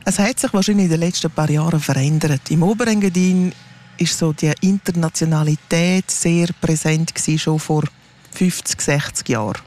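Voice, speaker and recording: A woman's voice: sits low, normal speed, darkish tone. Woman explaining her valley. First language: Romansh. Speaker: guest, regional radio editor. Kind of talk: casual interview.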